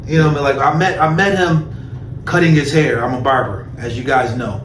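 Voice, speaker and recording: A man's voice: medium pitch, a little fast. A man hosting a casual interview in an informal, encouraging way, often repeating his phrases. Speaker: host. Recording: roomy, distant mic, faint noise.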